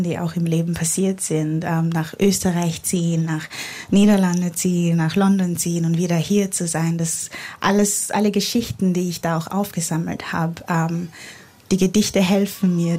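Lower-pitched young woman. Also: a little mellow. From born in Nigeria, grew up in Graz, Austria. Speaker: poet, guest author. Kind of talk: reflective live interview.

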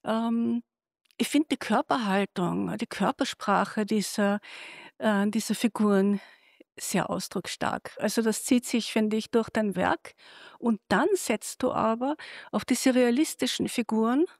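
Gravelly-voiced woman interviewer. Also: fairly high pitch, unhurried, no room sound, studio quality. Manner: curious, guiding questions. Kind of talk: gallery interview.

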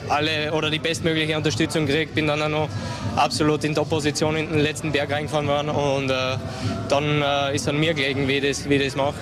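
Halting Austrian man in post-race interview. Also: fairly high pitch, monotone. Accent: Austrian German. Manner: halting, many fillers and restarts. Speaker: interviewed pro cyclist.

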